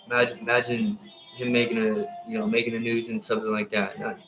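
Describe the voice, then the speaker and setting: A man's voice: lowish voice, low-quality recording. A man in his thirties, a military veteran, rants through a rambling, heated monologue full of swearing and false starts. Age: 30s. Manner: heated, profane, many restarts.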